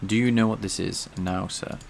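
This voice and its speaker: Low gravelly man thinking aloud. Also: fairly even intonation, normal speed, a little hiss or hum. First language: English. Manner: thinks aloud while coding, answers chat. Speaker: streamer. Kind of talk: casual live-coding stream chat.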